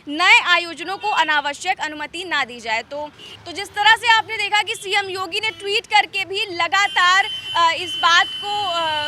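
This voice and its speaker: A woman giving a news report as a reporter, explaining in a halting way with frequent hesitations. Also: extremely high pitch, background noise.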